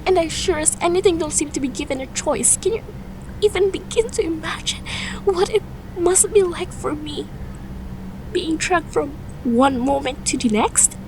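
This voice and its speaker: A woman's voice: very high-pitched, lively intonation, moderate pace, noisy background. A young woman playing an overwhelmed, emotional teenage girl mage in a fantasy audio drama. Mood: upset, defiant. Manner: emotional, pleading.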